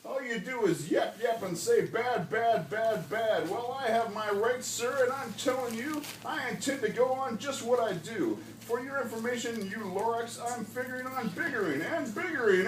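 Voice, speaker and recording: Stubborn old male storybook tycoon, acted by a man, dramatic and very animated, recorded at a distance with faint noise. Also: extremely high pitch, normal speed, few pauses. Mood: angry, defiant. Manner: emphatic, dramatic, repeats words for effect. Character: defiant, greedy, later regretful old businessman, the Onceler. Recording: roomy, distant mic, faint background noise.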